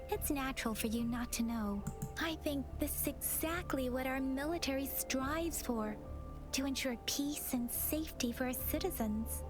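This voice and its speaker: A woman's voice: sits high, close to an everyday voice. A woman playing an earnest, kind young companion in a video game, explaining things in a sincere way. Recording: background music over game dialogue.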